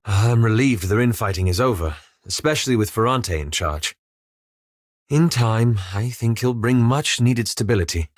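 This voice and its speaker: An actor playing a reserved, scholarly male fantasy adventurer in a video game, speaking formally and articulately with measured, wry understatement. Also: mid-range voice, no room sound, clean studio sound, lightly acted. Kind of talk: scripted video game character dialogue.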